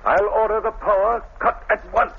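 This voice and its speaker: Husky, tinny, flustered mayor. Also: male voice, high-pitched, fairly even intonation, normal speed, faint music behind. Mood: shocked. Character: flustered, horrified male city mayor on telephone. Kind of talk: 1930s radio acting. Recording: tinny, narrow 1930s radio recording.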